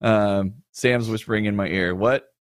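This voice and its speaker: A man's voice: on the low side, steady tone, a little slow, no echo, clean studio sound. A man in his thirties, a show co-host, chatting casually on a livestream with frequent fillers and laughs.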